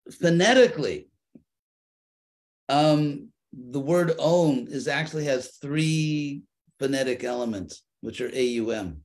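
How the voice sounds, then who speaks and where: A man of middle pitch leads a study group, answering questions at length. He thinks aloud, stalling and repeating words before landing on his point, and keeps a light touch of humor as he explains. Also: a little mellow. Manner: thoughtful, restarts and repeats words while searching for phrasing. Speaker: spiritual teacher.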